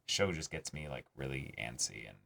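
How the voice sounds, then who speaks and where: A man with a low voice talks through his opinions as co-host of a reaction show. He keeps it casual and conversational, putting on imagined reactions to make his points. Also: vocal fry, a bit bright. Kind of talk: casual discussion and critique.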